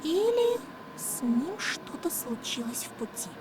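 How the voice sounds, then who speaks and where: A woman voicing a mysterious, teasing young girl in a fox mask in a game, with a high, lilting, sing-song delivery. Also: sing-song, a little slow, darkish tone, slight background noise. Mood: ominous. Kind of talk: game voice acting.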